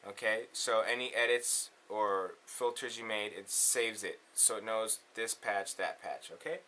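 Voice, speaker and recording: Bright-voiced man giving a casual tutorial. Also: lowish voice, fairly even intonation, unhurried. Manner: instructional, checks in often with okay. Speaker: tutorial presenter, musician. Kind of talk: casual step-by-step synth tutorial.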